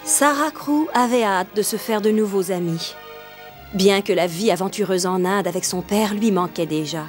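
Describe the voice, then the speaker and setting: A woman acts as a children's cartoon narrator with a mid-pitched voice. She reads scripted storybook narration in a measured way. Music is clearly heard beneath her. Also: natural voice. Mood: storytelling.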